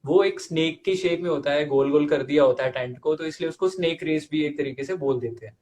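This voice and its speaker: A man's voice: on the high side, fairly quick, darkish tone, a little hiss or hum. Eager young man hosting an interview. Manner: eager, agreeing often, laughs along. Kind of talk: casual interview chat.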